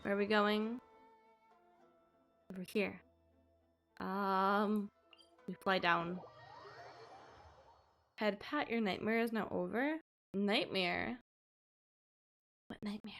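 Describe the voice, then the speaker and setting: A woman's voice: mid-range voice, slow, creaky, long pauses, a bit bright. A woman chatting casually as she livestreams a game, with a cutesy, playful manner. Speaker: game streamer. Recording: game music behind voice.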